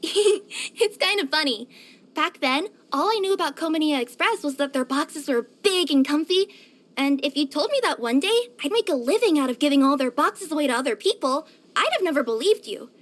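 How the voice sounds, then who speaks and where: A young cat-spirit courier girl from a video game. Her voice is extremely high and cutesy, eager and cheerful by temper. It swings up and down expressively as she talks. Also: normal speed. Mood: warm, chatty. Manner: expressive, cutesy, with gasps and hesitant murmurs. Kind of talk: scripted video game character voice lines.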